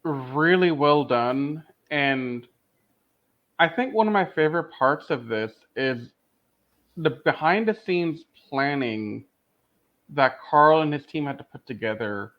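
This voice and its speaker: A man's voice: on the high side, expressive, slow pace. A man speaking emphatically and enthusiastically as a panelist in a casual podcast review discussion. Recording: dull, lo-fi microphone audio.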